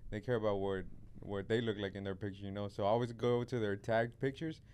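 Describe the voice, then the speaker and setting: A young man hosting a podcast in a casual, laid-back chat, talking into a studio mic on a boom arm. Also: steady tone, a little fast, darkish tone, a little hiss or hum. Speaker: podcast host. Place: podcast studio with neon sign. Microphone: studio mic on boom arm, headphones.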